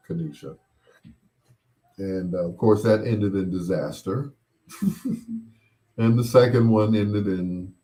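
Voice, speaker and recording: A middle-aged man hosting a casual show, chatting and reminiscing in a rambling way, often pausing and repeating himself as he replies to listeners. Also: lowish voice, a little mellow. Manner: unhurried, rambling, with pauses and repeats. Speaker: host of a bedtime stories show. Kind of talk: casual chat, reminiscing and replying to listener comments.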